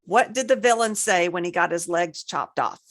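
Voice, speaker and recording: A woman co-hosting a podcast in casual, informal conversation, easygoing and quick to agree. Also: lowish voice, fairly bright tone, no echo, clean studio sound. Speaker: podcast co-host.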